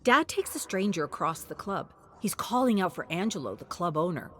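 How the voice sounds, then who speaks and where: A woman reading scripted storybook narration in a measured, expressive storytelling manner. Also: on the low side, a little hiss or hum. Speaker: narrator of character lore.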